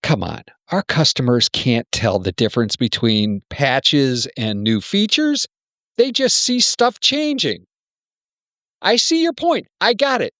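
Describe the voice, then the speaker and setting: A man's voice: mid-range voice, sing-song, slow pace, fairly bright tone, no room sound, studio quality, heavily acted. A man playing a wary, self-protective senior executive in an amateur radio play, talking in a blunt, cautioning, persuasive way. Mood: dismissive.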